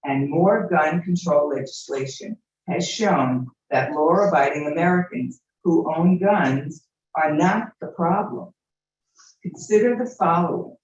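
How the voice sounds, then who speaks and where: A man's voice: on the high side, a little slow, a little hiss or hum. A man reading an earnest prepared statement during public comment at a city meeting. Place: city commission chamber. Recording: distant very echoey muffled hall mic.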